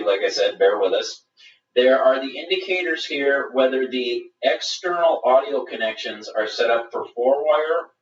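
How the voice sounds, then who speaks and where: Male product presenter, methodical walkthrough delivery, mid pitch, dull webinar sound with room echo. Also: fairly bright tone. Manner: methodical, explanatory. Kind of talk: live webinar product demonstration. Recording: roomy, distant, dull webinar audio.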